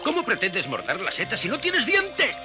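A man voicing an excitable, grumbling but helpful grasshopper in a Spanish-dubbed children's cartoon, with exclamatory, theatrical delivery. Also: high-pitched, moderate pace. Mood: exasperated. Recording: loud music behind the voice.

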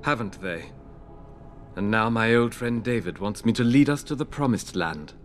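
An actor playing an urbane, weary scientist in his sixties in a video game, measured and gently ironic. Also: medium pitch, natural voice. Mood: urbane, wry. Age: 60s. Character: older male scientist-inventor, urbane, weary, wry. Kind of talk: video game cutscene acting.

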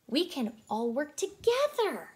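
A woman's voice: sits high, huge pitch swings, measured pace, pitched above the performer's own voice, heavily acted. A woman reading the part of a cheerful cartoon puppy leader in a storybook, emphatic and encouraging. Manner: bright-spirited, emphatic, encouraging.

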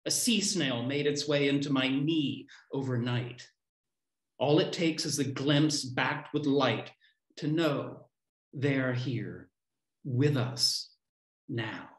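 A man in his fifties reading his poems aloud on a video call from home, declaiming short lines with deliberate pauses. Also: medium pitch. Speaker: poet reading own poems. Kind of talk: live poetry reading. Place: small room at home. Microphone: computer mic on a video call. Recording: roomy, echoey home video-call sound.

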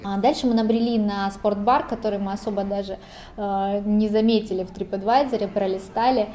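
A woman's voice: mid-range voice, steady tone, fairly quick, dark tone, slight background noise. A woman in her thirties telling a casual, chatty travel story as a vlogger.

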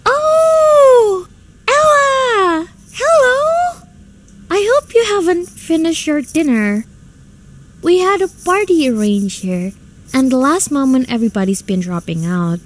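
A woman playing a flustered, excitable young society daughter in a radio drama, exclaiming and stammering dramatically. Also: sits high, normal speed. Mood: bright, sociable. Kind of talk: stage-like radio drama acting.

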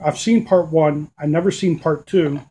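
A man's voice: a little high, fairly even intonation, normal speed, mellow, slightly rough. A man in his thirties casually showing off his DVD finds at home, rambling with fillers and restarts, recorded on a webcam. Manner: rambling, with frequent fillers and self-corrections. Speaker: DVD collector vlogger. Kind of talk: casual video update. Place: small room at home, indoors.

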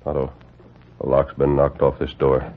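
An actor playing a calm, commanding masked cowboy hero in a vintage radio western, speaking formally and with measured authority. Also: male voice, a bass voice. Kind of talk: 1940s radio western acting. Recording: tinny, noisy vintage radio recording.

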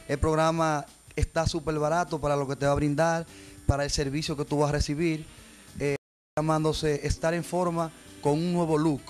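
A young man speaks on a TV studio set, holding a handheld mic. His voice sits fairly high for a man, and soft music plays behind him. He explains things earnestly, pausing often. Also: little pitch movement. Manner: earnest, explanatory, with frequent hesitations. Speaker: gym trainer. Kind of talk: studio TV interview.

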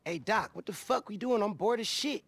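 An actor playing a laid-back, friendly studio musician in a game cutscene, casual and slangy. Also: male voice, high-pitched, animated, normal speed, vocal fry, a bit bright, breathy edge, no echo, heavily acted. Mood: bored. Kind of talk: video game cutscene acting.

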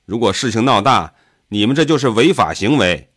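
A man voicing a mild, diplomatic county leader in an audiobook, measured and placating. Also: lowish voice, animated, fairly bright tone, slightly rough. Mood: firm, reasoning. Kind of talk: audiobook character voice.

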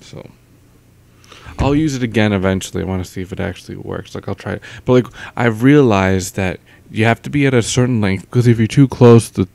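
A man's voice: on the low side, fairly quick, creaky, darkish tone, dry recording. A young man rambling casually into a close mic with a pop filter at home, full of fillers and trailing thoughts. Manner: meandering, lots of fillers and trailing off. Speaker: audio vlogger. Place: home, indoors.

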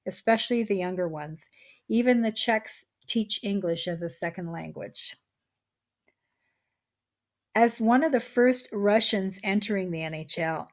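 A woman's voice: mid-range voice, a little mellow. Woman reading her nonfiction aloud. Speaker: author reading her own book.

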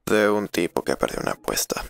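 A gruff big tomcat acted by a man with a Latin American accent, low and gravelly. He is deadpan and sardonic, a cartoon dub character. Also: a little fast, no room sound, exaggerated voice. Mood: dry sarcasm.

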